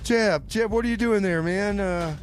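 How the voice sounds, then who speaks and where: A man's voice: high-pitched, normal speed. A man hosting community radio, moving between music announcements and comedy sketch dialogue. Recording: clear noise behind the voice. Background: background noise.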